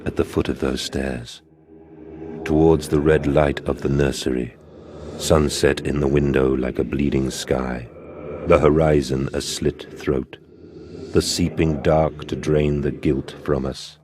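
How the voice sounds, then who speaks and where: A man's voice: extremely low pitch, level tone, unhurried. A man playing a brooding, self-proclaimed priest of ancient gods in a video game, reciting in a solemn, incantatory way. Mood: solemn dread. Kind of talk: poetic video game monologue. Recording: clear background noise, ambience.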